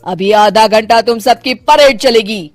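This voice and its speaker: A high, commanding older mother-in-law from a cartoon moral tale, acted by a woman. She is a strict retired policewoman who runs home like a parade ground, drawing out her orders in a dry, close recording. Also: no room sound, soft background music, close to an everyday voice. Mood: stern. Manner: barking drawn-out commands, strict. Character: stern retired policewoman mother-in-law, drill-sergeant bossy. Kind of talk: animated moral story voice acting.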